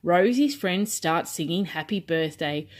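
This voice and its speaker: A woman's voice: lowish voice, animated, measured pace. Woman reading children's bedtime stories. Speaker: children's story reader. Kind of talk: scripted bedtime storybook narration.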